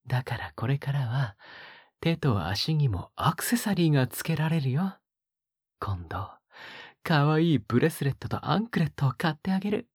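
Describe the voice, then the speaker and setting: A woman acting as an obsessive young man with a low, very breathy voice, recorded close and dry. He speaks as a possessive captor in an intimate Japanese audio drama, coaxing and gently menacing, with murmurs that swing widely in pitch and sink into whispers. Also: animated, moderate pace, no echo, heavily acted. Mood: scolding, controlling. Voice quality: breathy, husky.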